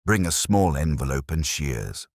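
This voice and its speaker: A man's voice: a bass voice, animated, a little slow, no echo, clean studio sound, full character voice. A man voicing a heavyset, imperious, brusque detective genius with terse, formal, pompous speech, in an audiobook mystery. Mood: brusque, commanding.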